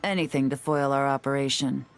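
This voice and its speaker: A woman's voice: a bass voice, fairly even intonation, brisk, a little hiss or hum. A woman playing a poised, shrewd covert investigator in a video game, speaking in a cool, composed, wry manner.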